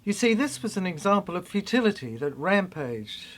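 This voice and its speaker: An older person delivering a rambling, cryptic monologue in halting fragments, repeating phrases for emphasis. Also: mid-range voice, big pitch swings, slight background noise.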